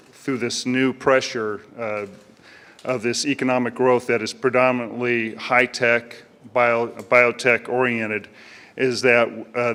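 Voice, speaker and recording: A middle-aged man giving a measured presentation at a community meeting, with frequent fillers and restarts. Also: medium pitch, fairly bright tone. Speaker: commercial real estate broker. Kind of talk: public comment presentation. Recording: speaking in a hall with long reverb.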